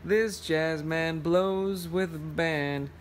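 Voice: medium pitch, steady tone, extremely slow, a little hiss or hum. A person reading a picture book aloud to children, playful, with singsong rhymes, scat sounds and casual explanations.

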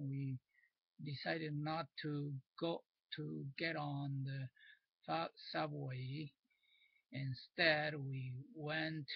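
An older Japanese man in his sixties tells his day's story into a webcam at home. His English comes very slowly and haltingly, often stopping to search for words. His voice is gravelly and husky, and the sound is dull and dry. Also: fairly high pitch, no echo. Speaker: vlogger. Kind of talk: casual spoken diary. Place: small cluttered room at home, indoors. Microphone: webcam facing him.

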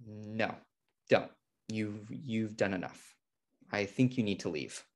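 A man's voice: on the low side, slow. A man playing an uptight, exasperated twin brother, indignant and earnest, in a sitcom table read on a video call. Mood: fed up. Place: indoor room at home on video call.

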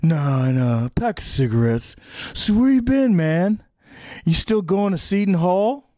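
A man's voice: high-pitched, sing-song, a little slow, dark tone, no room sound, clean studio sound. A man playing a squirrely, chummy young store customer with a slangy way of talking in a comedy table read.

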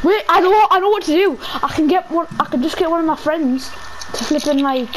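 Excitable teen gamer, really high voice, pleading with friends, noisy game chat. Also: fairly quick. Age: teenager. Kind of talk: excited chat while playing an online game.

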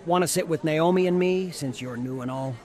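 Visual novel classmate: man as laid-back, friendly teen pterodactyl, lightly high voice, casual delivery. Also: fairly quick, a little mellow, a little hiss or hum, lightly acted. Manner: casual, easygoing. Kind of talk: visual novel character dialogue.

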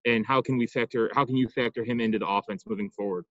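Chicago-area American man reporter, fast-talking, low voice, dull lo-fi call audio, dry. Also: fairly even intonation, a bit bright, dry recording. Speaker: press reporter. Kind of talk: press conference questions.

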